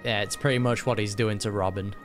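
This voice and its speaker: A man's voice: on the low side, steady tone, brisk, over music. A man giving casual, chatty, musing reaction commentary as a video host.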